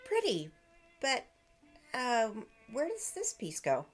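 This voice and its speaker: An actress playing a calm, puzzled wife who won't be drawn into her husband's fuss. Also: on the high side, soft background music, heavily acted. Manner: slow and unbothered.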